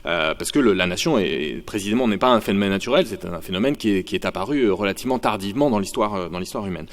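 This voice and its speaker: A man's voice: lowish voice, expressive, fast talker. A male sociology lecturer giving a university conference talk, thinking aloud with frequent fillers and restarts. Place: lecture hall. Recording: long hall reverb.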